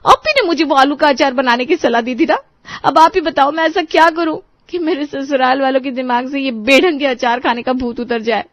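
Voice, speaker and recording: Brief: woman voicing an exasperated young bride, high voice, dull mic. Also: brisk, no echo, natural voice. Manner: complaining, frantic. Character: young daughter-in-law, exasperated and whiny. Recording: dry, slightly muffled studio voice.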